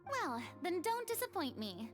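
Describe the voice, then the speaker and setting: An actress voicing a confident, proud young former stage star in a video game, declaiming dramatically. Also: female voice, extremely high pitch, sing-song, moderate pace, soft background music. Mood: commanding.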